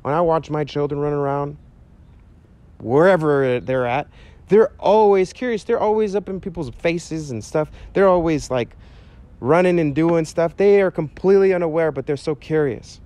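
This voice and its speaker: A man in his thirties preaching outdoors to a camera, earnest and animated, talking casually with fillers. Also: fairly high pitch, moderate pace. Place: outdoors by a chain-link fence on a sunny day. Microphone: handheld mic, medium camera shot.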